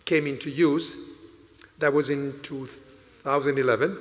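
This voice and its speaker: A man whose first language is Italian, preaching a homily as a priest, deliberate and emphatic. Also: on the high side, a bit bright. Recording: reverberant church hall.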